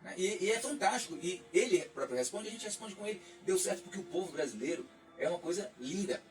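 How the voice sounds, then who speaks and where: A man's voice: sits high, animated, fast. A man, a radio guest talking politics in a reflective, lecturing way with frequent restarts. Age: 40s. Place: radio studio. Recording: distant mic, faint music underneath.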